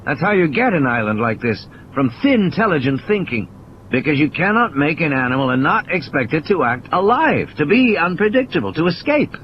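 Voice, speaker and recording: A man's voice: on the high side, very animated, fairly quick, muffled recording, a little hiss or hum. A man playing an injured, cynical and irritable scientist in an audio play, ranting emphatically in philosophical, insistent repetitions. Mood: scornful.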